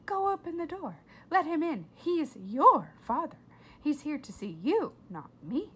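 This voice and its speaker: A woman's voice: high voice, very animated, measured pace, voice pushed up. A woman voicing an exasperated, bossy mother of a young girl in a children's book read-aloud. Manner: emphatic, bossy.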